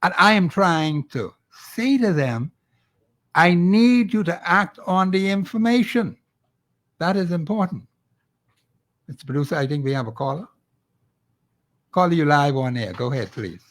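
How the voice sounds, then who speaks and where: A man's voice: a little high, animated, a bit bright. A man with a Bahamian accent hosting a call-in radio talk show, exhorting listeners and taking calls. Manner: fiery, exhorting, repeats phone number slowly.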